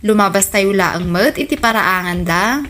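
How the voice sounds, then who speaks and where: A woman's voice: mid-range voice, lively intonation, moderate pace, a bit bright, natural voice. A woman playing a good-humored daughter with an Ilocano Filipino accent in a radio comedy drama. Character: good-humored grown daughter of old father.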